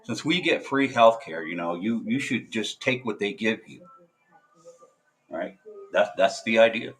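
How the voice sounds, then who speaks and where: Man, veteran, lowish voice, halting, lots of fillers, blunt, swears. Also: animated, fairly bright tone, rough recording. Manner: halting, hesitant, with many filler sounds and restarts; blunt and profane. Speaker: military veteran. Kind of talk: casual monologue to camera.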